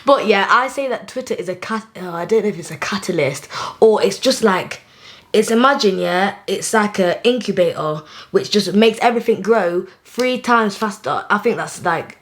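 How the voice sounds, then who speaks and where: A woman's voice: medium pitch, expressive, moderate pace. A woman chatting in a casual group discussion, informally thinking aloud.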